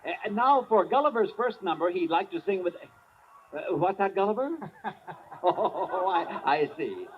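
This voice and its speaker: An old vaudevillian on 1950s radio. His high voice swoops about in genial, hesitant patter. The recording is muffled and noisy. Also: lively intonation, moderate pace. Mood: genial, flustered patter. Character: genial old vaudeville showman with an animal act.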